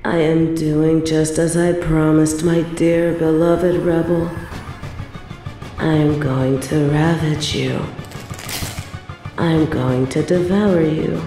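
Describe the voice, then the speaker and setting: A woman's voice: sits low, steady tone, slow, warm tone, roomy sound, faint music behind. A woman playing an evil, possessive queen in an audio drama, theatrical and menacing with mock tenderness. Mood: sultry, threatening. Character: evil obsessive possessive queen, cruel, gloating, sadistic. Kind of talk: scripted audio roleplay drama, addressed to the listener.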